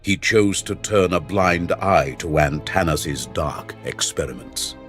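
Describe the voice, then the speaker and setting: A deep-voiced, grave mentor figure from a fantasy game. He speaks with portentous weight, like a guide and storyteller, with music playing prominently underneath. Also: slow pace, a bit bright. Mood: solemn storytelling. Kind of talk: dramatic fantasy game dialogue and narration.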